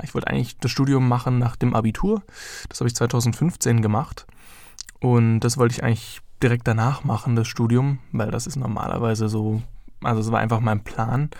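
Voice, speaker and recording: A man's voice: mid-range voice, vocal fry, a little mellow, no echo, studio quality. A young man in his early twenties hosting his own podcast, talking casually and rambling with lots of fillers. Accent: German. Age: young adult. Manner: chatty, rambling, with many fillers. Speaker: podcast host.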